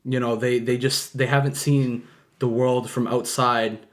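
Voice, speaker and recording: A man's voice: medium pitch, level tone, measured pace. A man talking casually in a family conversation, rambling and often checking that he is understood. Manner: rambling, keeps asking "you know what I'm saying". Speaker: family member on the podcast.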